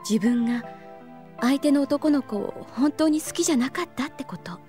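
A voice actress playing a gentle, wistful young woman teacher in an anime audio drama, soft and reflective. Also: high-pitched, moderate pace, soft background music, natural voice.

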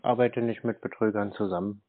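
Terse, deadpan man on a tinny phone line. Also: fairly low pitch, brisk. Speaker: scam baiter. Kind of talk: unscripted phone conversation.

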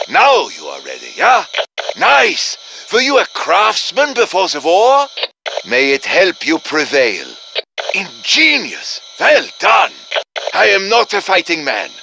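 A man's voice: high-pitched, gravelly, bright, forceful tone, a little hiss or hum, full character voice. An actor playing an earnest professor with a German accent, urging on his fighters in dramatic, courtly video game lines. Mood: admiring. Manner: dramatic, urgent, courtly encouragement. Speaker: game character voice.

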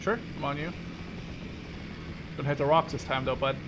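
A man's voice: fairly high pitch, fairly even intonation, moderate pace. A man chatting casually with a friend and commenting live as he games, slangy and prone to swearing. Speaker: gamer playing with a friend. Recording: clear game vehicle and battle noise behind. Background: war video game sounds.

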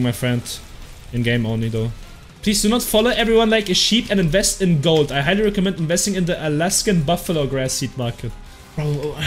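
A young man from Germany with a heavy German accent, excitably chatting with his stream chat while playing, swearing and laughing freely. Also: high-pitched. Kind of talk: casual live game commentary, reading chat. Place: small room at home.

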